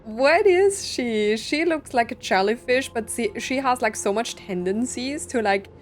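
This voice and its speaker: A woman's voice: on the high side, expressive, a little slow. A woman giving casual gameplay commentary and reactions as a game streamer. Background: game music.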